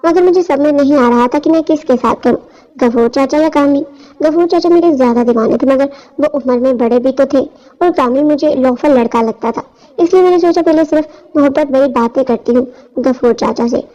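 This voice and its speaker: A woman's voice: high voice, a little mellow. A woman reading a scripted first-person story as its narrator. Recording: dull, lo-fi audio.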